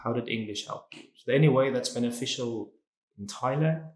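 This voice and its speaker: A man's voice: mid-range voice, brisk, a little mellow. Chatty male interview host, echoey room. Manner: chatty, opinionated, often starts questions with "so". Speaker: interview host, English teacher. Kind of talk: casual interview, educational.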